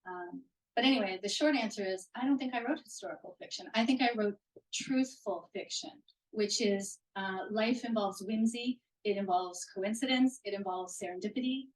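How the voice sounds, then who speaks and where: A woman's voice: mid-range voice, moderate pace, rough recording. A woman author answering questions at a live bookstore reading, rambling with restarts, speaking into a handheld mic. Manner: rambling, warm, with restarts and fillers. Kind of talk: casual live Q&A at a book reading. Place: bookstore event room. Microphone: handheld microphone.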